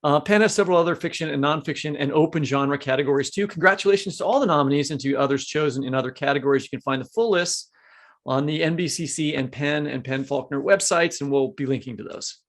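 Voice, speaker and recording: A man's voice: fairly high pitch, quick pace. A man co-hosting a literary podcast, chatting casually and reading out award nominees with small asides. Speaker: podcast co-host. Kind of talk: podcast conversation, reading lists.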